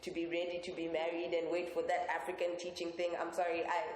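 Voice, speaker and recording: A woman's voice: on the low side, monotone, fast talker, a little mellow. A woman from Zambia talking frankly and chattily as a guest on a panel discussion. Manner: chatty, frank, lots of "you know what I mean". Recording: echoey roomy hall with faint noise.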